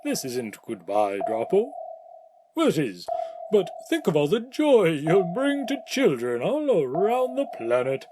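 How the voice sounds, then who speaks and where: A man playing Santa Claus as a jolly, kindly old man with a hearty, drawn-out delivery in an audio play. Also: a little high, huge pitch swings, background noise. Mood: kindly, reassuring.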